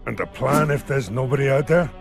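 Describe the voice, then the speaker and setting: A man playing a weary, sardonic soldier in a sci-fi drama, gravelly and blunt. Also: medium pitch, expressive, fairly quick. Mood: wary, sardonic. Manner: blunt, informal, questioning. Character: wounded, weary, sardonic male human soldier. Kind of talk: scripted sci-fi audio drama acting. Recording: music under the voice. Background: background music.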